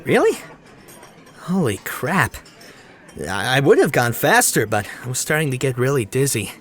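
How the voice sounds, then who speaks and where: Man playing an excitable young hero, lively intonation. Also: mid-range voice, normal speed. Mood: amazed. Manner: casual, excitable. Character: excitable, earnest young male hero, casual and eager. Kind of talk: audio drama acting.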